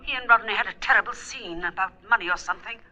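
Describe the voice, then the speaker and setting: A woman's voice: mid-range voice, fast, sharp tone, low-quality recording. A woman playing a grave, urgent aunt in a 1940s radio drama. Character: grave, urgent aunt breaking terrible news.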